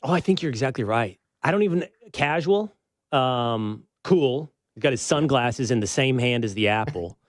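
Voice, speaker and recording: A man with an American accent hosting a talk show, giving conversational, emphatic political commentary with pauses for effect. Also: medium pitch, lively intonation, no echo, clean studio sound. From United States.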